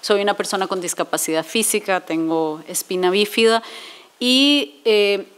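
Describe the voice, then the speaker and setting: A woman, addressing a seated audience from a panel table in a conference hall. She talks in a measured, explanatory way at an ordinary pitch. She often pauses on "eh" and restarts her phrases as she thinks. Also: a bit bright, clean tone. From Costa Rica. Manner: measured, frequent "eh" fillers and self-corrections. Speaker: invited speaker. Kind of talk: public lecture.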